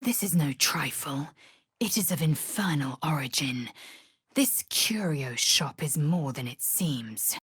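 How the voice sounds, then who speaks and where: A woman playing a proud, stern githyanki warrior in a fantasy video game, with a husky rasp and clipped, imperious, formal delivery. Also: low voice, expressive, takes it slowly, dry recording, clean studio sound, heavily acted. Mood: stern, haughty.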